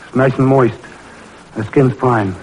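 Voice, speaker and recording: A thoughtful, level-headed scientist played by a male actor speaks in a mid-pitched, matter-of-fact voice. The old radio drama recording has faint noise behind it. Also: fairly even intonation, measured pace, darkish tone, natural voice, poor audio. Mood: thoughtful, hopeful. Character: level-headed, thoughtful male physiologist, loyal lab partner.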